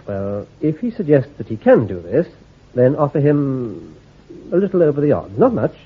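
An actor playing a shrewd army intelligence commander in a radio drama, issuing careful orders in a clipped, authoritative way. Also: male voice, medium pitch, wildly swooping pitch, moderate pace, slightly rough, full character voice. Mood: commanding. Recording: tinny, phone-like old recording.

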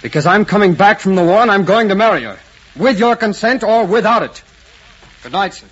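An actor playing a courteous, earnest young suitor who speaks in a polite, measured way in an old romantic drama. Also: male voice, mid-range voice, slightly rough, lightly acted. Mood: resolute. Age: young adult. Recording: dull, noisy old film soundtrack.

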